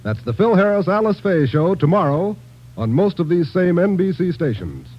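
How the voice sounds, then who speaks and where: Casting: male network announcer, mid-pitched with animated intonation, scripted old-time radio delivery, muffled recording. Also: moderate pace. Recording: band-limited old radio recording with music.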